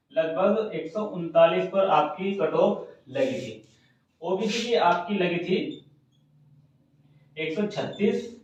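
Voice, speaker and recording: A man's voice: high voice, slight background noise. A man giving informal exam guidance to students in an instructive way, repeating points for emphasis. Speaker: exam coaching YouTuber. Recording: very echoey room, distant mic, muffled.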